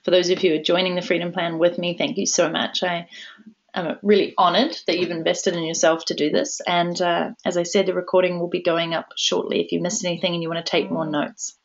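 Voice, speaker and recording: A woman co-hosting a live webinar Q&A, upbeat and quick to laugh. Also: lowish voice, level tone, vocal fry. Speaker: webinar co-presenter. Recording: dull lo-fi webinar audio.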